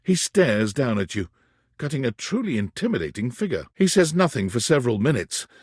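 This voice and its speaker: A man's voice: mid-range voice, sing-song, a little fast, slightly rough, dry recording, studio quality. A man reading scripted second-person narration for a game as a dramatic, wry storyteller.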